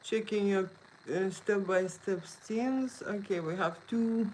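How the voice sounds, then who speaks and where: A woman's voice: mid-range voice, slow pace, darkish tone, slight background noise, rough recording. A woman with a Lithuanian accent chatting casually on a livestream, answering viewers' questions and often adding "okay?" to her sentences. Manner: chatty, frequent "okay?" tags, non-native phrasing. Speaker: photographer hosting a live Q&A. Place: indoor studio. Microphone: phone.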